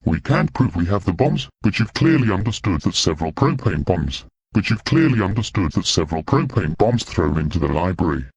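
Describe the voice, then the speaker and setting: A man voicing a cold, gravelly hostage-taker. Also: low-pitched, sing-song, brisk, dry recording, highs cut off, exaggerated voice. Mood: menacing. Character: cold adult male hostage-taker issuing demands.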